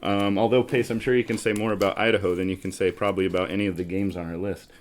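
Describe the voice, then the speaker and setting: A man is hosting a relaxed, conversational show. He keeps a casual tone and banters in a teasing, dryly dismissive manner. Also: low voice, fast, slight background noise. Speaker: host of a board game show.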